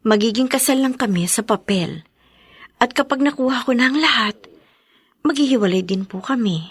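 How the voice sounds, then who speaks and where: A woman playing a respectful, sincere young single mother in an audio drama, soft-spoken and deferential. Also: a little high, expressive, normal speed, breathy edge, no room sound. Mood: meek, explaining. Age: young adult. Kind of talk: melodramatic radio-style drama acting.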